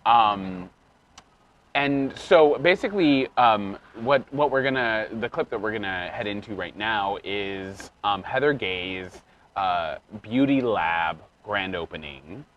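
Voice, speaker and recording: A man's voice: on the low side, slow pace, dull audio, slight background noise. A man co-hosting a casual reality TV recap show, talking with frequent ums and restarts. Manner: animated, hesitant with many ums and restarts. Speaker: podcast co-host.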